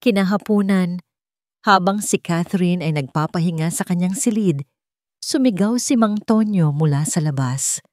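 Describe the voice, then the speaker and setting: A woman's voice: lowish voice, moderate pace, darkish tone, no echo, studio quality. A woman narrator reading a scripted, dramatic love story.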